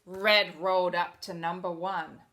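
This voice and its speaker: A woman teacher reading a storybook aloud to children expressively, pausing to explain things in simple words. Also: lowish voice, steady tone, pushed and bright.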